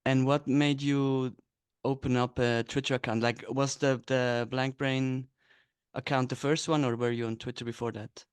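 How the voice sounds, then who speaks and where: A man's voice: medium pitch, level tone, moderate pace, smooth, dry recording. A thirty-year-old man from Switzerland hosting a casual, informal podcast interview. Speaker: podcast host.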